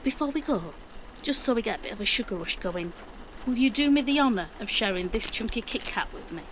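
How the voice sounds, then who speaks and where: A woman's voice: on the high side, lively intonation, brisk, slight background noise, lightly acted, rough recording. A woman playing a plucky, wry, middle-aged British mum in an audio drama, with a colloquial, dry-humoured delivery. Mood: affectionate, determined. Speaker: actor.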